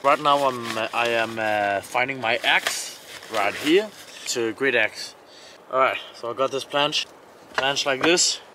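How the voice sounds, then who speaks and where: A man with a Danish accent chatting casually to camera as an outdoor vlogger, in short, plain, halting phrases, recorded outside. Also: mid-range voice, noisy background. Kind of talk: casual chat narrating camping tasks to camera. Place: outdoors at a winter campsite.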